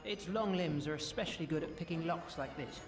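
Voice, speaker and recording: An actor playing a gentle, awkward young British wizard in a cartoon game, speaking politely and a little hesitantly. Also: male voice, a little high, a little fast, natural voice. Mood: earnest. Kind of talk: animated game cut-scene acting.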